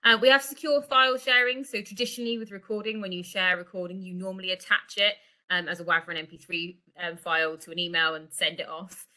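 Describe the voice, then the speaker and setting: Bright-voiced woman presenting, on the low side. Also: flat delivery, fast, poor audio. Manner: explanatory, conversational. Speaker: product presenter. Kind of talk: informal online sales presentation. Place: indoor room, online video call. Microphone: video call microphone.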